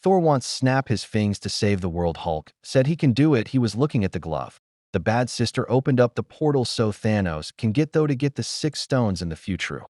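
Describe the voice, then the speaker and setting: A man with a lowish voice gives a simple spoken retelling, like an amateur reviewer. His pitch jumps around a lot as he moves through short, loosely built sentences. The sound is dry, with no room around it. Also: sing-song, moderate pace, darkish tone, dry recording.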